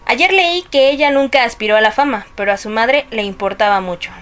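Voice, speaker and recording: Actress doing gossipy touchy older mexican woman, kinda high voice, teasing, opinionated, radio soap. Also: a little fast, fairly bright tone, smooth, natural voice. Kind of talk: radio drama acting.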